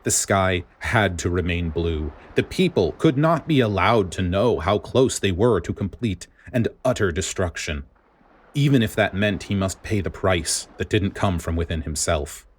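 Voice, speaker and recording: A man's voice: low-pitched, lively intonation, normal speed, breathy edge. A man voicing a grim, resolute vice admiral in a story, muttering and terse with steely resolve.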